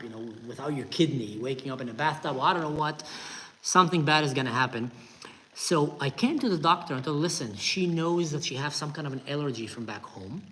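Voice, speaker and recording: A middle-aged man with an Israeli accent, telling a story from his own life in a lively casual way and acting out the voices he quotes. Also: medium pitch, slight background noise, low-quality recording. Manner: animated, acts out quoted voices, tense retelling. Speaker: storyteller host.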